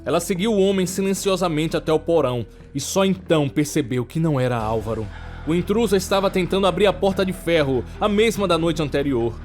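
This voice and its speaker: Higher-voiced Brazilian man narrating suspense over music. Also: animated, a little fast, darkish tone. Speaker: storyteller. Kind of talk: scripted horror and suspense story narration.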